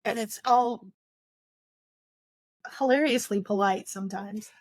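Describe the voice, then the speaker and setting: Female co-host, conversational and opinionated, medium pitch, dry studio-like sound. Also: slow, no echo. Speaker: book podcast co-host. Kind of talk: casual book discussion.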